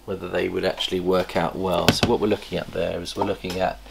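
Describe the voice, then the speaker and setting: A man's voice: low voice, little pitch movement, gravelly, noisy background. A middle-aged man, hosting a chatty snake-breeding vlog from an indoor reptile room. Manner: chatty, enthusiastic, pauses to recall details. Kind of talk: casual show-and-tell vlog. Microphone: camera across a table.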